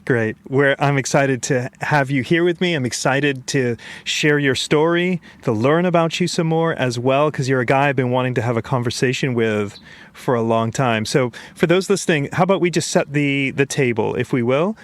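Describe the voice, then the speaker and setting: Male podcast host, measured and earnest, mid-pitched voice. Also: no room sound. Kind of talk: scripted intro then interview.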